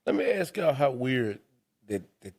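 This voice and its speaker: A man with a raspy voice co-hosting a boxing podcast, laughing a lot in casual chat. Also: medium pitch, very animated, moderate pace, darkish tone. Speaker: podcast co-host.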